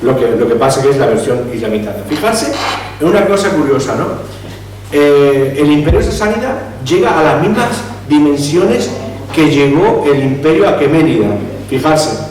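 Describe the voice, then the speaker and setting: A man lectures in a roomy hall that rings with strong reverb, picked up from well back. His voice sits a little high for a man as he restarts words and tosses in rhetorical questions. A faint hum runs under the recording. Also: expressive, normal speed, darkish tone. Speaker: lecturer on Iranian history. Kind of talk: public lecture. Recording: echoey hall, distant mic, faint hum.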